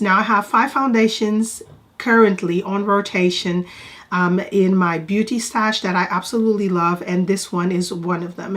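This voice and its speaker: A woman with a stuffy, congested voice from a cold talks through a beauty product review. Her first language is Portuguese, and she chats in a rambling, friendly way, doubling words for emphasis. Her pitch sits right in the middle for a woman. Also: fairly bright tone. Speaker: beauty vlogger. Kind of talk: casual product review to camera.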